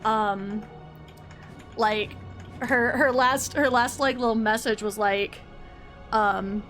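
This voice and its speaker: A woman's voice: a little high, fairly even intonation, takes it slowly, a bit bright, soft background music. A woman streamer chatting casually, rambling, with lots of fillers and restarts. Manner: rambling, filler-heavy, self-correcting. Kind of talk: casual livestream chat.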